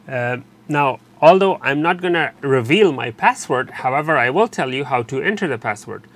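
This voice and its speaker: A man narrating an unscripted step-by-step technical tutorial in a halting, explanatory way, repeating and rephrasing as he goes. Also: medium pitch. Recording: steady background noise, room ambience.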